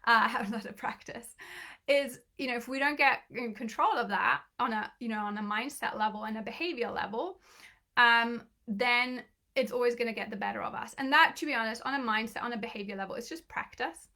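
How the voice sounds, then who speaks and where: Woman coach, bright and fairly high voice, slightly croaky, chatty and encouraging with lots of restarts. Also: a little fast. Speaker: business coach. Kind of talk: live online training.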